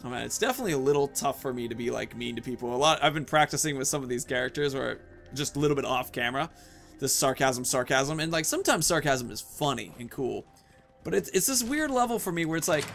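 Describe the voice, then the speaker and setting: Man, thirties, fairly high pitch, gravelly fry, casual gaming commentary, rambling asides, light music behind. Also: a bit bright. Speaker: video game streamer and host.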